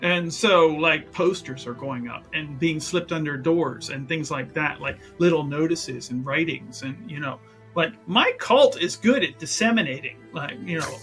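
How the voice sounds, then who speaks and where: Casting: tabletop game player, medium pitch, forceful bright tone, self-justifying rambler, faint music underneath. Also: a little slow. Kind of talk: casual in-character roleplay discussion.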